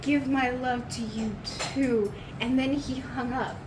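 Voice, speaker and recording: Woman acting high-voiced, flustered young woman. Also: fairly even intonation, takes it slowly, background noise. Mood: flustered, gossipy. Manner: rambling, flustered. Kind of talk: improvised in-character roleplay.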